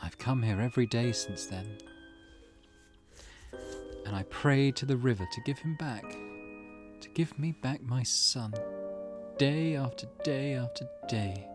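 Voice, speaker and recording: A man's voice: medium pitch, takes it slowly, pauses often, music in the background, lightly acted. A man voicing a grieving, reserved mother in a visual novel, with a restrained and somber manner. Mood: sorrowful, bitter. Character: grieving, reserved, bitter mother of a lost son. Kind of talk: reading visual novel dialogue aloud.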